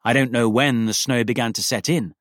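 A man reading a Victorian story aloud as an actor, with wry, self-deprecating humour and deliberate, dramatic phrasing. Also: mid-range voice, steady tone, no echo, studio quality. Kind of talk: expressive literary narration of a Victorian story.

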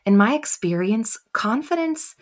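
A woman coaching on confidence as a podcast guest, soft and quiet, taking long pauses between her thoughts. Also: fairly high pitch, sing-song. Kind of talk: reflective interview answers.

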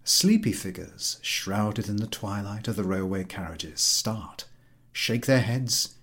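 A man's voice: on the low side, lively intonation, slow, a bit bright. A man narrating a classic short story in a scripted, literary reading style. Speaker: story reader.

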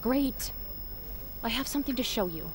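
A woman's voice: a little high, expressive, normal speed, a little hiss or hum. An actress in an audio drama playing a blunt, insistent young woman who speaks in a clipped way. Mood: blunt, demanding.

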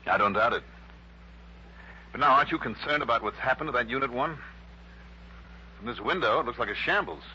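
An actor playing a shrewd, skeptical male investigator in a 1960s radio drama, brisk, probing and wry. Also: mid-range voice, animated, sharp tone, slight background noise. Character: shrewd, skeptical male insurance investigator narrating his case. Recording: dull, humming early-1960s radio recording.

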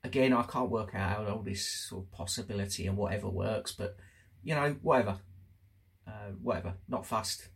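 A man talking candidly and casually as host of a personal diary show, chatty but halting, pausing for emphasis. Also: fairly low pitch, creaky, breathy edge. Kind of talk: casual spoken diary update.

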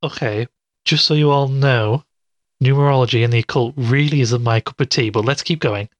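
A man acting a skeptical male investigator hosting a podcast in an audio drama. He has a mid-pitched voice in a very dry recording. He reasons aloud haltingly, unconvinced. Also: dry recording, dull audio, natural voice. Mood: puzzled, skeptical. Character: skeptical male investigative show host puzzling over clues.

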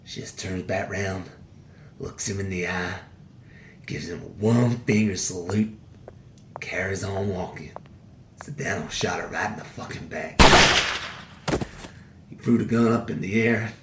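A man's voice: low-pitched, a little slow, pauses often, noisy background. A man playing a bitter, foul-mouthed convict. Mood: storytelling, cold. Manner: coarse drawling slang, sneering. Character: defiant male convict serving life, bitter and crude. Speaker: prison inmate. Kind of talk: dramatic dialogue acting. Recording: roomy echo.

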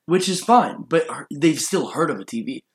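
A man's voice: fairly high pitch, lively intonation, normal speed. A man chatting casually as a podcast host, informal and wryly joking. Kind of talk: casual chat. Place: room in an apartment. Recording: roomy, echoey sound.

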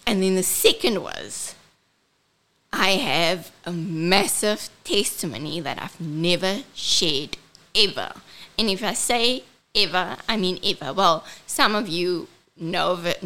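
A South African woman with a squeaky, childlike voice, speaking earnestly as a ministry host and switching between English and Afrikaans. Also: animated, measured pace, sharp tone. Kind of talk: personal testimony and event announcement.

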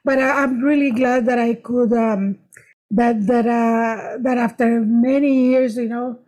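A middle-aged woman, a comedian guest telling stories in animated, restarting bursts. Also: fairly high pitch, measured pace, rough recording. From Nicaragua. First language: Spanish. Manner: animated storytelling, frequent restarts and laughs. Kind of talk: casual interview chat.

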